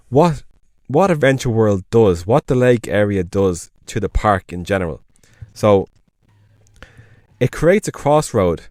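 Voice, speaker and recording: A man's voice: fairly low pitch, a little mellow, no room sound, studio quality. An Irish man co-hosting a podcast, chatting casually and enthusiastically, rambling and correcting himself as he goes. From Ireland. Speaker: podcast co-host. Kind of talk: casual chat.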